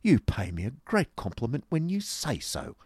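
A man's voice: medium pitch, wildly swooping pitch, normal speed, a little mellow, husky, no room sound, clean studio sound, voice pushed up, exaggerated voice. A man voicing a pert, vain young woman with an affected, mockingly polite manner in a novel reading. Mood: taunting. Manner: affected, taunting, prim.

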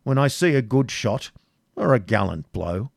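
Storybook yeoman, played by a man: bold, self-possessed, steady, plain-spoken, very dry sound. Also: medium pitch, moderate pace, vocal fry, slightly rough, no echo. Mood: bold composure. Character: composed, bold medieval yeoman archer.

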